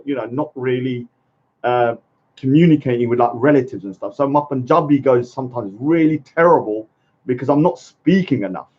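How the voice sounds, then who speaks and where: A man's voice: mid-range voice, a little mellow. A man delivering a blunt, combative ranting monologue, repeatedly saying "let me say something" and checking with "yeah?". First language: English. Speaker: speaker's corner vlogger. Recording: dull lo-fi sound.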